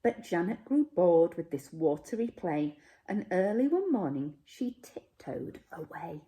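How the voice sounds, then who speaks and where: A woman narrates a rhyming storybook for young children. Her voice is fairly low and soft-edged, and she takes her time, phrasing each line dramatically with a highly varied melody. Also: big pitch swings, a little slow. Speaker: teacher reading a children's story.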